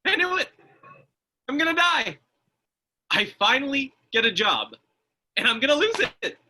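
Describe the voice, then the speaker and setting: Man acting a wildly animated, anxious young-sounding driver guy. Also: very high voice, moderate pace, full character voice. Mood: panicked. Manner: excitable, whiny, exasperated. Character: anxious, easily panicked male delivery driver turning stag. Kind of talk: comic screenplay table read.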